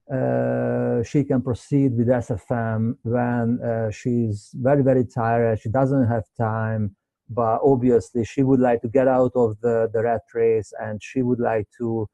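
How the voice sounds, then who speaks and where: Hungarian-accented male webinar host, non-native English speaker, halting delivery, very dark tone, dry close sound. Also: mid-range voice, creaky, no echo, lo-fi sound. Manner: halting, many repeated words and fillers. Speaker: webinar host, medical doctor. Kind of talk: unscripted webinar Q&A.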